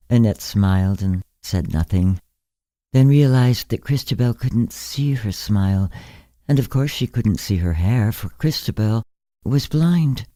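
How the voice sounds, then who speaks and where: A man narrating a literary short story in a measured, gentle, deliberate way. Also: on the low side, no echo. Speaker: narrator, fiction reader.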